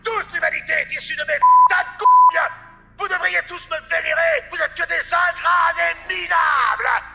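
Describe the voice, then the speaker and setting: An actor playing an arrogant, foul-mouthed male angel in an animated series, boastful, contemptuous and explosive. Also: very high-pitched, moderate pace, creaky, faint music behind, heavily acted. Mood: furious boasting. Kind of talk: animated series dub acting.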